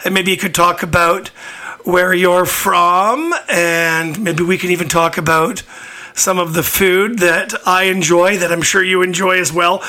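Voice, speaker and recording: A man's voice: high-pitched, sharp tone. A man hosting a talk show, chatting upbeat with a guest and plugging sponsors. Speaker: radio show host. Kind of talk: talk show introduction and interview.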